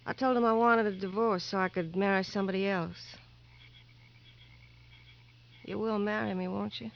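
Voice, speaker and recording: A woman's voice: medium pitch, steady tone, normal speed, creaky, lightly acted. An actress playing a restless, coaxing young married woman pleading with her lover in a 1950s radio drama. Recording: dull, faintly noisy 1950s radio recording.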